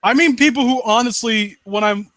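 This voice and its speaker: A man's voice: very high-pitched, normal speed, a bit bright, dry recording. A man hosting a live stream in casual chat, blunt and given to swearing. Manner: blunt, profane. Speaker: host of a live critique stream.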